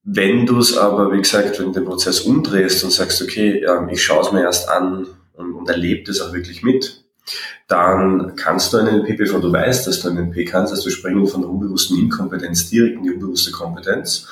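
A man who trains people in NLP, talking persuasively and directly to the listener in a relaxed conversation. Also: deep voice, fairly quick, distant mic. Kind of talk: casual podcast conversation. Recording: roomy echo, away from mic.

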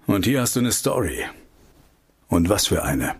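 A man's voice: low voice, sing-song, measured pace, background noise. An actor playing an investigative researcher in a radio play, terse, urgent and matter-of-fact as he lays out facts. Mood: urgent, insistent. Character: male investigative researcher briefing a contact, urgent and knowing. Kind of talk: radio drama dialogue.

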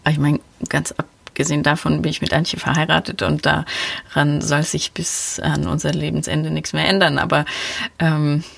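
A woman's voice: low voice, level tone, moderate pace, vocal fry, no echo. A woman hosting a podcast, talking casually and thinking aloud as she answers listener questions. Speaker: podcast host.

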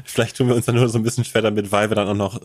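Ein tiefer sprechender Mann sitzt in lockerer Podcastrunde. Er sinniert über Gewohnheiten beim Filmeschauen. Dabei spricht er auch das Publikum direkt an. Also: male voice, on the low side, level tone, very fast. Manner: musing, asks questions, addresses listeners. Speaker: podcast co-host. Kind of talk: casual film podcast chat.

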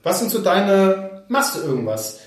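Podcast co-host with a cold, a bit higher voice, set back from the mic in a very echoey room. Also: male voice, very animated, unhurried, recorded from a distance. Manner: explanatory, teasing. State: has a cold, sore throat. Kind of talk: casual chat.

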